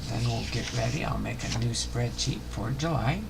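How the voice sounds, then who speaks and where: Clear background noise sits under an older man's gravelly, creaky voice. He hosts a casual livestream, rambling along in a folksy, unhurried manner. His pitch sits around the middle for a man. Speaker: livestream host.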